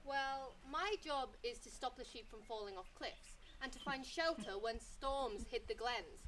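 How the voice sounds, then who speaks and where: A woman's voice: fairly high pitch, measured pace, fairly bright tone, a little hiss or hum. A woman voicing a young, lonely male sheepdog in a children's story, speaking in an earnest, self-deprecating way.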